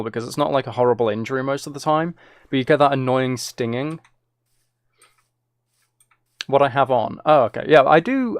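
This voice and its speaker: A man's voice: medium pitch, dry recording. A man chatting casually on a livestream, answering viewers in a rambling, conversational way and repeating his phrases. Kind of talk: casual livestream chat, reading out and answering comments.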